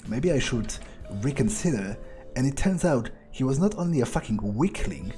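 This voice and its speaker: A man playing a game in character, thinking aloud in a halting, musing way with casual swearing. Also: mid-range voice, lively intonation, mellow. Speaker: let's player speaking in character. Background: game music.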